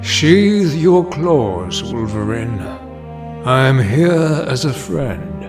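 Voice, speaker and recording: An actor playing a proud, commanding mutant leader in an audio drama, solemn and formal. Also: male voice, mid-range voice, sing-song, extremely slow, music in the background. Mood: grave command.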